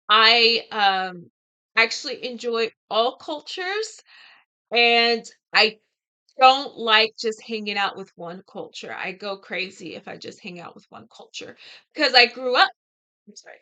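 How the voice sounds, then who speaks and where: Clear, bright-voiced American woman, unhurried. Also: on the high side. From United States. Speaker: vlogger discussing a book. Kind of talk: casual reflective talk to camera.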